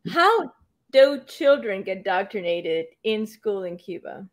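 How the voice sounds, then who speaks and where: A woman's voice: medium pitch, big pitch swings, measured pace. Hesitant woman interview host. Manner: hesitant, many fillers and restarts. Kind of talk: online interview.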